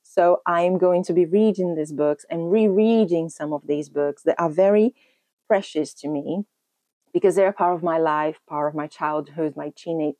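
A woman in her thirties from Brazil, Portuguese as her first language, talks casually into a camera at home. Her voice sits low and sounds mellow. The sound is dry, with no room echo. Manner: chatty, thinking aloud with many ums and restarts. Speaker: book channel host. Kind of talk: casual book haul vlog. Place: room at home in front of a bookshelf.

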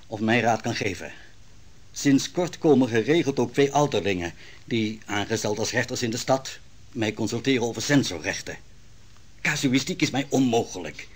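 A man voices a worried counsellor of the king in an old radio play. His voice is husky and mid-pitched, pressing and earnest as he pleads his case. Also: lightly acted. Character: royal counsellor, Susanna's anxious husband. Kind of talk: vintage radio drama acting.

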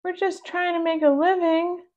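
A woman's voice: extremely high pitch, little pitch movement, normal speed, a little mellow, muffled recording, voice pushed up. A woman voicing whining, grumbling little pug swindlers from a children's storybook.

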